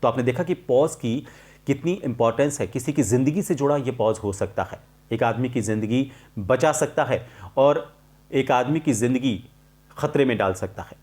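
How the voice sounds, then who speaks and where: A middle-aged man explaining a lesson to viewers as a voice coach, in a deliberate, emphatic manner with clear pauses. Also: medium pitch, a little mellow. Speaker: voice-over artist and voice coach hosting a tutorial.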